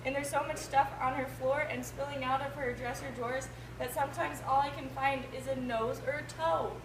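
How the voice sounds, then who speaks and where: A woman's voice: high voice, steady tone, moderate pace. A woman teacher reading a picture book expressively to a class, drawing out key words for emphasis. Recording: echoey, noisy room, distant microphone.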